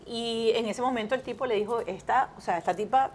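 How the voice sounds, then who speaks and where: Lively Venezuelan woman telling stories. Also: fairly high pitch, brisk. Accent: Venezuelan Spanish. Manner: animated storyteller, many restarts and fillers. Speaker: guest, TV presenter. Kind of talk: casual interview chat.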